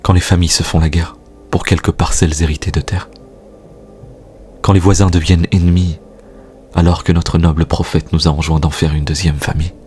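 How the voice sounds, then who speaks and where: A man with a husky voice recites spoken-word poetry in a solemn, earnest, exhortatory manner, posing rhetorical questions. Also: sits low, flat delivery, a little fast, darkish tone, slight background noise. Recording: music bed behind the voice. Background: music.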